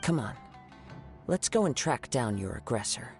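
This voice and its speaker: A man voicing a polite, formal young wandering samurai with a measured way of speaking. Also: medium pitch, very animated, close to an everyday voice. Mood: courteous. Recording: game audio with music.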